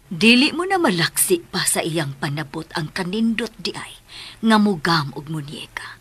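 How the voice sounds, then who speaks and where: A woman playing a grave, reproachful older mother in a radio drama, with a breathy voice and a Filipino accent. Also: fairly low pitch, sing-song, normal speed, fairly bright tone, heavily acted. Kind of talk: Cebuano radio drama acting.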